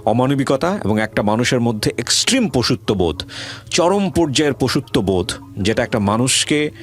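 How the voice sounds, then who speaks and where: A man's voice: mid-range voice, sing-song. Bangladeshi man hosting an interview show. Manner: speaks loudly and deliberately for a hard-of-hearing guest. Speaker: radio show host interviewing a guest. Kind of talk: conversational interview with commentary to the audience.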